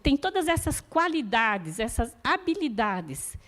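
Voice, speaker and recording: A woman's voice: on the high side, sing-song, measured pace, fairly bright tone. A woman whose first language is not Portuguese, giving an earnest, encouraging church teaching talk about support groups and often checking in with her listeners.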